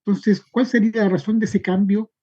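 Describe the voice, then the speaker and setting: A man speaking as a student in an online class, politely asking a question in a halting way, repeating words as he goes. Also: steady tone, mellow. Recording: muffled low-fidelity online call audio.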